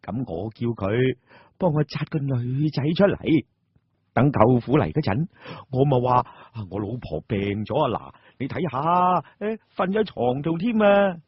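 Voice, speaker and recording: A man acts out a lazy young Cantonese schemer for a radio drama. He is sly and pleased with himself, with swooping pitch, a husky edge and a dry close recording. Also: very animated, normal speed, dry recording, highs cut off. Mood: smug scheming. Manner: sly, chuckling, boastful. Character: cunning, lazy, scheming young wastrel man, self-satisfied.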